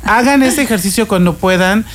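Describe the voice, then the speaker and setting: A woman's voice: mid-range voice, normal speed. A Mexican woman co-hosting a chatty live radio show, talking expressively and emphatically in building, repetitive lists.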